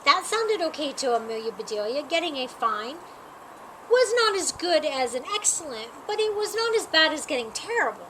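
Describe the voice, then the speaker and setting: A woman with a high, very lively voice reads a picture book to children. She stresses and stretches key words in an expressive storytime delivery. There is noticeable background noise in the recording. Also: poor audio. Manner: animated, emphatic, drawn-out key words. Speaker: storytime reader.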